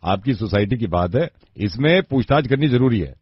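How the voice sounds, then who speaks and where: A radio prankster playing a stern, impatient police officer who interrogates people over the phone in a bossy way. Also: male voice, lowish voice, lively intonation, normal speed, dull audio, a little hiss or hum, voice pushed down, natural voice. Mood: probing.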